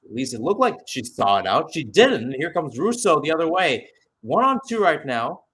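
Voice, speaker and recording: A man's voice: a little high, big pitch swings, normal speed, a bit bright. Man calling live football play-by-play. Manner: conversational, analytical asides between short clipped calls. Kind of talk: live radio-style sports commentary.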